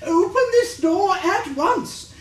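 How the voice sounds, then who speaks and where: A woman's voice: extremely high pitch, animated, slow, exaggerated voice. A woman playing a bossy, flustered school official in a British radio drama, with a commanding, dramatic delivery. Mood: scolding. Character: Mrs Dooley, bossy flustered middle-aged school official. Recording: roomy, distant, noisy background.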